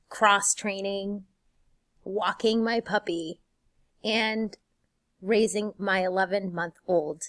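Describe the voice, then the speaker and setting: A woman in her thirties, a teacher whose first language is Spanish, speaks to her incoming class in a slow, measured way. Her tone is earnest and encouraging. The recording is dry and close, with no room sound. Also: mid-range voice, dry recording. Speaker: high school Spanish teacher. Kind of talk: scripted welcome message to students.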